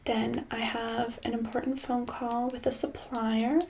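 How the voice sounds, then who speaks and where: A woman playing a controlling, passive-aggressive boss in a soft-spoken ASMR roleplay, breathy and slipping into whispers, condescending and casually menacing. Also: fairly high pitch, level tone, a little slow, slight background noise. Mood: bossy, threatening. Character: controlling, passive-aggressive female boss holding an employee hostage.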